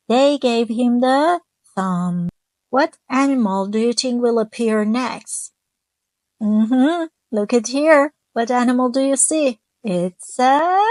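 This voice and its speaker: A woman reading a children's picture book aloud, gently stopping to ask her young listeners questions. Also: fairly high pitch, dry recording. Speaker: children's storyteller.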